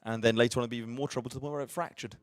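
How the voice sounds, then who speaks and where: A man's voice: mid-range voice, fairly even intonation, racing, dry recording. An English man co-commentating a live strategy game stream, explaining things plainly and dropping wry jokes. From southern England. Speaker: livestream co-commentator.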